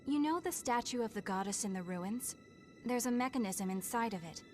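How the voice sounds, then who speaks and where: An actress voices a young woman fighter in a video game dub. Her voice is fairly high, and she speaks politely and earnestly, with quiet determination. Music is clearly heard underneath. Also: animated, brisk, close to an everyday voice. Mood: earnest, apologetic.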